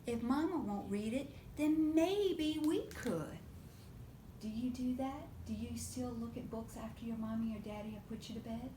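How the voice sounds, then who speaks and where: A woman reading a picture book aloud to young children in an expressive, animated way, often putting questions to her listeners. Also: normal speed. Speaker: preschool storytime reader. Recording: roomy echo with faint low rumble.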